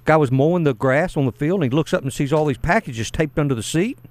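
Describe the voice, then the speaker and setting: A man's voice: fairly high pitch, fast, a little mellow, no room sound. A man co-hosting a sports talk radio show, given to dry, teasing quips. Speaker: radio co-host. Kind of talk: casual sports radio banter.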